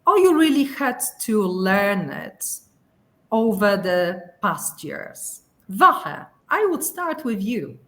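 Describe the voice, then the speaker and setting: Slow-spoken woman moderating a webinar. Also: medium pitch, very animated. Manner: warm, sums up guests' points, asks follow-up questions.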